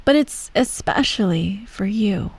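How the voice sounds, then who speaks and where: A woman playing an imperious, self-righteous empress who dotes on her child, speaking softly and formally in a bedtime ASMR roleplay. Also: mid-range voice, expressive, husky, no room sound. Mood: fond, composed. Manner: soft, measured, formal, gently condescending. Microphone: close, dry microphone.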